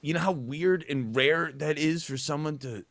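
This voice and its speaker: A man's voice: a little high, moderate pace, vocal fry, no echo. A man co-hosting a music podcast, a music teacher chatting in a loose, rambling, jokey way. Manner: rambling, jokey, plays with accents. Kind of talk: casual chat.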